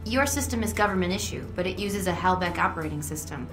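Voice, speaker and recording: A woman playing a composed, caring, quietly flirty colleague of an agent in a video game, speaking calmly. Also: lowish voice, fast talker, natural voice. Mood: composed, teasing. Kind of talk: video game cutscene dialogue. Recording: roomy sound with clear music underneath.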